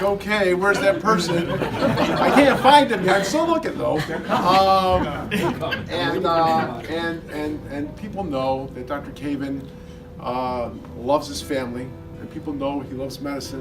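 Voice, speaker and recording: A man giving an animated tribute at a retirement party, telling a joke and laughing through it. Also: fairly high pitch. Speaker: former trainee giving a tribute. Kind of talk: party toast with anecdote. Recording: distant mic with loud music.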